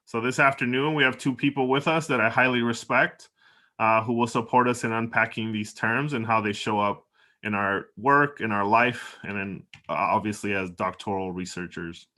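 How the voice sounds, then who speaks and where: A man's voice: lowish voice, steady tone, moderate pace, bright, forceful tone. A man from El Salvador, a doctoral student, giving earnest, reflective opening remarks as the moderator of a panel.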